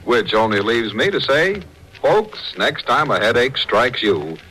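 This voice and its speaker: A man announcing on 1940s radio, delivering a sponsor pitch with emphatic, folksy salesmanship. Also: sing-song, unhurried, sharp tone, husky, low-quality recording. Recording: old 1940s radio broadcast recording.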